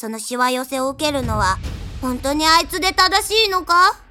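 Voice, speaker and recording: A woman's voice: high-pitched, animated, normal speed, bright, forceful tone. A woman playing a cute, cheeky boy god in an audio comedy, talking in a childlike, quirky way. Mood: pointed. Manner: childlike, quirky repeated sentence endings. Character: cute, cheeky, detached boyish young messenger god. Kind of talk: comedic audio drama acting. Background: music.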